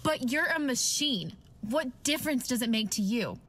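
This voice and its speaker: A cautious doctor played by a woman with a fairly high voice. She sounds earnest and questioning, a serious skeptic in an old-time radio drama. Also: animated, moderate pace, background noise, lightly acted. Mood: alarmed.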